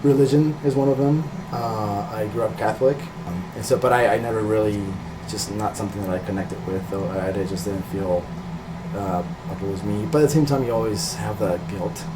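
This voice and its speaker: A man's voice: fairly low pitch, a little fast, noisy background. A middle-aged man from Peru talking casually and hesitantly in an interview about himself, thinking aloud in an informal way. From Peru, later California. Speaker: illustrator, interviewee.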